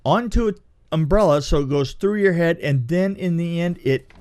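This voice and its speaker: A man co-hosting a podcast, chatting casually about films in an exclamatory, halting way. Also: a little high, a little mellow, no echo, studio quality. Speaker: podcast co-host reviewing horror films. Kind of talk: casual film review chat.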